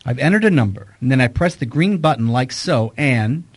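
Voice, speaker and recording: A man's voice: mid-range voice, lively intonation, normal speed, noisy background, natural voice. Male private detective , played by an actor, self-assured and dryly wry, audio mystery drama. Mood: confident, pointed.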